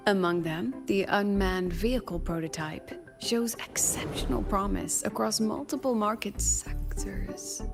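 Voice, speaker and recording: A woman playing a composed, courteous academy president in a video game, speaking in a formal, measured way. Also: medium pitch, gravelly. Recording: game music behind.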